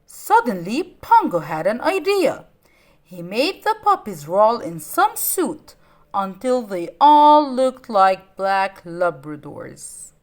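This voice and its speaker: A woman reading a children's story aloud in an expressive, dramatic way. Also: mid-range voice. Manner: expressive, dramatic, deliberate phrasing.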